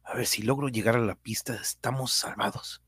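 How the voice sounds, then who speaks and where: Husky-voiced man reading. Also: medium pitch, normal speed, fairly bright tone, no room sound. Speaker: reader voicing the hero. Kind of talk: amateur radio drama reading.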